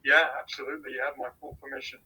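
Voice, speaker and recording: A man with an English accent, a missions pastor, answering interview questions in a measured way and often repeating words as he thinks. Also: mid-range voice, flat delivery, sharp tone, breathy edge, rough recording. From England. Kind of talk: casual phone interview answers.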